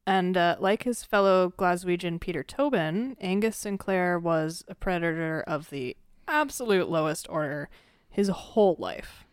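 A woman's voice: on the low side, measured pace, smooth, no room sound, studio quality. A woman co-hosting a true-crime podcast, playful and chatty, who leads the case narration. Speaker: podcast co-host. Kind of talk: casual true-crime chat.

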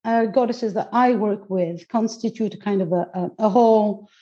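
A woman's voice: medium pitch, moderate pace, dull audio. A woman from Greece, whose first language is not English, chatting as an interview guest about her work, often restarting and laughing as she finds her words. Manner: warm, searching for words, frequent restarts and laughs. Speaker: guest, goddess teacher and coach. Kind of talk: casual interview chat.

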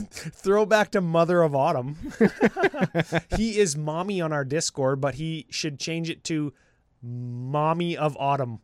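A man's voice: fairly high pitch, takes it slowly, no echo, studio quality. Upbeat male podcast co-host, scratchy voice. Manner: laughs often, upbeat, trails off. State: scratchy voice from a cold. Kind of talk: casual chat.

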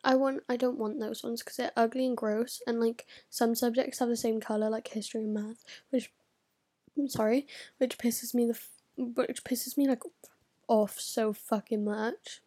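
A teenage girl chatting straight to camera in a rambling, scattered way. Her voice sits a little high, and she swears freely and often drops into a whisper mid-thought. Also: fairly even intonation, moderate pace, warm tone, slightly rough. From United Kingdom. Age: teens. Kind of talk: casual vlog chat.

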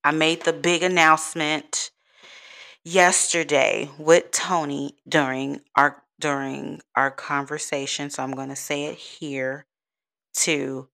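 A woman's voice: sits low, flat delivery, slow pace, creaky, a bit bright. A woman, hosting a rambling, giggly solo podcast in a soothing voice. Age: 30s. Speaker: podcast host. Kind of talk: casual solo podcast chat.

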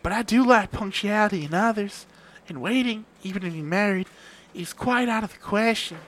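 A man's voice: sits high, moderate pace, fairly bright tone, a little hiss or hum, low-quality recording. A man voicing an impatient, prim young lady who speaks precisely and a little petulantly. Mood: prim, firm.

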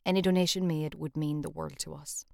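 Female podcast announcer, fairly low voice, scripted delivery, dry studio-like sound. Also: steady tone, fairly quick, gravelly, no room sound. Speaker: podcast presenter.

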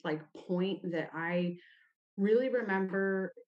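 A woman's voice: mid-range voice, slow, dull audio. A woman from Ohio tells personal stories as an interview guest, informally, often saying "like". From United States, grew up in Ohio. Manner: informal, frequent "like" as a verbal habit. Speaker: podcast guest. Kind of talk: conversational personal storytelling in an interview.

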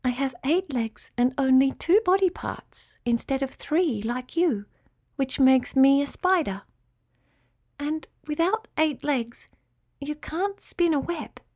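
Woman as a soft, whispery, kindly lady spider. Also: high-pitched, lively intonation, takes it slowly, pitched above the performer's own voice. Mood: matter-of-fact. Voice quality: quiet, whispery, silky. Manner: gentle, patient explaining. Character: kindly, proud female spider, patient and gently instructive. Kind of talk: children's storybook character voice.

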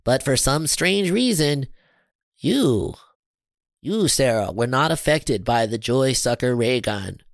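A man's voice: mid-range voice, lively intonation, takes it slowly, dry recording, studio quality. Man voicing a formal, fretful little alien scientist. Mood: earnest explaining. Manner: formal, stilted phrasing.

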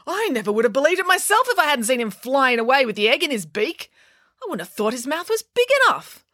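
A woman voicing an excitable, indignant middle-aged mother who tells tall tales in an audiobook, with dramatic emphasis. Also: mid-range voice, normal speed, no room sound, clean studio sound, voice pushed up. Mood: insistent. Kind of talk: audiobook character dialogue.

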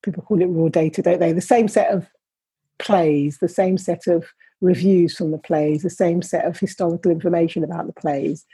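A woman's voice: fairly low pitch, steady tone, moderate pace, warm tone, slightly rough, no echo. A middle-aged woman, an academic guest, speaking thoughtfully and measuredly in conversation, often restarting her sentences. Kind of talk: reflective interview conversation.

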